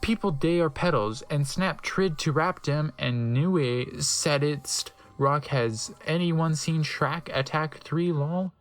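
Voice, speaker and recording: Narrator for comic dramatic reading: mid pitch, theatrical, deadpan asides, light music bed. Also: slow, few pauses.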